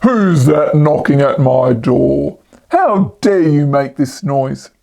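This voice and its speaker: A woman voicing a huge bad-tempered male giant in a storybook, slow and indignant. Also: sits low, lively intonation, gravelly, mellow, pitched below the performer's own voice, full character voice. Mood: furious.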